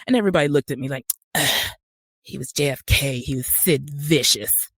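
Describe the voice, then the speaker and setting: An American man speaking conversationally as an interview guest, telling stories in an animated way. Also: mid-range voice, moderate pace, breathy edge, dry recording. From Utah, United States. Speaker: podcast guest, actor and screenwriter.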